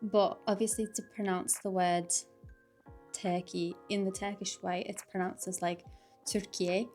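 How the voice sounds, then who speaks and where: Young Liverpudlian woman, casual vlogger talking to camera, mid-pitched, faint music bed behind. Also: little pitch movement, a little slow, a little mellow. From Liverpool, England. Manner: chatty, lots of "like", frequent laughs.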